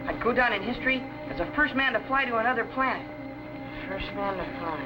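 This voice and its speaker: A young male actor playing an eager, daydreaming teenage boy assistant in a 1950s sci-fi serial, earnest and excitable. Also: extremely high pitch, brisk, over loud music, rough recording. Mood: dreamy. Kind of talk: 1950s television serial acting. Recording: old soundtrack with faint noise.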